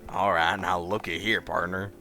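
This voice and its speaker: A man's voice: low-pitched, steady tone, normal speed, bright, forceful tone, soft background music, voice pushed down. A man voicing a blunt, plain-spoken frontier cowboy in a game, with an American Southern accent. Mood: confrontational. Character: gruff, blunt male cowboy gunslinger, frontier normie.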